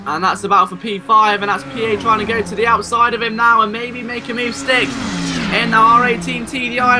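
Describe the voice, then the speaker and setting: A man giving excitable, exclamatory live play-by-play commentary on a motor race. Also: very high-pitched. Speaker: sim racing race commentator. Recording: clear car engine noise behind. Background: racing car engines.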